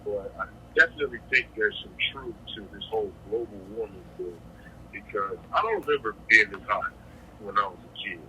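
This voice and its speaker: A man's voice: fairly low pitch, lively intonation, brisk, sharp tone. A man talking casually over a noisy prison phone line, reflective and plain-spoken, telling anecdotes as an interviewee. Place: correctional facility phone. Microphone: telephone handset.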